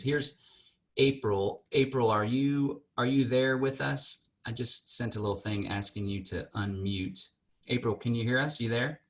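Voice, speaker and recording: A man's voice: fairly low pitch, fairly bright tone. Man hosting an online group call. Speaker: conference call host. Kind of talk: informal presenting on a group call.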